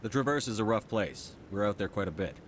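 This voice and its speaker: A man playing a steady, no-nonsense military commander in a sci-fi video game. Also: fairly low pitch, a little fast, slight background noise, lightly acted. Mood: steady, helpful. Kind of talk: video game dialogue acting.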